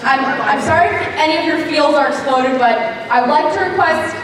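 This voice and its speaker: A woman's voice: high-pitched, brisk. A woman in an audience asking a question in a hesitant, apologetic, casual way. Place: large indoor convention panel room. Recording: echoey hall, distant mic, crowd murmur.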